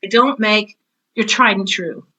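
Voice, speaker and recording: Bright, emphatic woman explaining her work. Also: mid-range voice, unhurried, husky, low-quality recording. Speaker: podcast guest, drama teacher and author of teaching materials.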